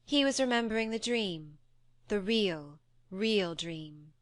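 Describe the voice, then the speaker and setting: Smooth-voiced woman narrating a story. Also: medium pitch, no room sound. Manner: measured, expressive storytelling. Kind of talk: audiobook dramatic reading.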